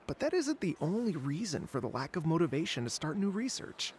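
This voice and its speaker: A man's voice: on the high side, sing-song, fairly quick, darkish tone, a little hiss or hum. An actor playing an easygoing, unambitious male academic in a video game, chatty and casual. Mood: casual, candid.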